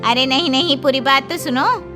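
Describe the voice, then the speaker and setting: A woman playing a chatty, rueful middle-aged auntie in a Hindi cartoon story, with gossipy, drawn-out exclamations. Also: sits high, over music, lightly acted.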